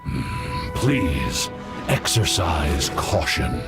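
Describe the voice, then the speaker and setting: Deep, slow, solemn dragon. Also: male voice, very animated, heavily acted. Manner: grave, formal. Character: ancient, solemn male dragon, courteous and weighty. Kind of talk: video game character acting. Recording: loud music under the voice.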